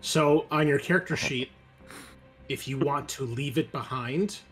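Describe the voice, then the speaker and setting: A man's voice: medium pitch, measured pace, over music, rough recording. A man acting as game master, casually narrating and explaining things to players.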